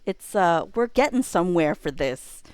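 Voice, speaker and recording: Female streamer, lower register, informal and rambling, self-interrupting, thinking aloud, dry recording. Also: animated, normal speed, smooth, no echo. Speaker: livestream host. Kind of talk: casual livestream chat, thinking aloud.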